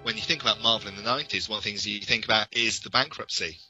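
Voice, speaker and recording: Give a man with a slightly low voice and a very bright, forward tone. He talks as a podcast co-host in a casual discussion, laying things out in discursive detail. There is music in the recording. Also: racing, muffled recording. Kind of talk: casual fan discussion, explaining in depth.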